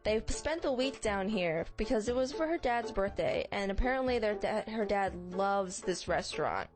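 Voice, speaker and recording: A woman with a medium-pitched, very expressive voice co-hosts a casual comedy podcast. Her delivery is wry and blunt, with pitch that moves around a lot. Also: normal speed, over music. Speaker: podcast co-host.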